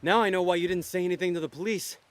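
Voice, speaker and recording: A man's voice: sits high, rapid-fire, lightly acted. An actor playing a laid-back, streetwise con man in a noir audio thriller, wry, informal and suspicious. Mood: defiant, accusing.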